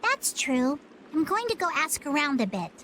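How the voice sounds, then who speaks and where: A woman's voice: sits high, sing-song, normal speed, fairly bright tone, a little hiss or hum. A woman voicing a small, self-assured young girl seafarer in a video game, plucky and matter-of-fact. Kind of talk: animated video game dubbing.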